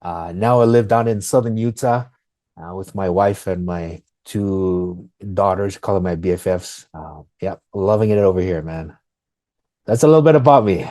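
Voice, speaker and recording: Low, mellow Fijian-accented man. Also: normal speed, no echo. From Fiji. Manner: informal, often says "man" and "you know". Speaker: interview guest. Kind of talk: casual conversational storytelling.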